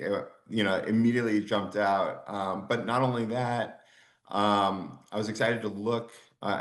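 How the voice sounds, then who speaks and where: A man with a low voice gives a talk over a video call, and his words ring around an echoey room. He speaks casually, filling pauses with ums and restarting his sentences. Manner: casual, lots of fillers and restarts. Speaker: geography professor. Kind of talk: conference presentation.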